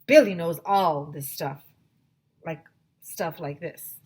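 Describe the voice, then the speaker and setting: A woman teacher reading a children's chapter book aloud in an expressive storytelling style. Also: sits low, takes it slowly, breathy edge.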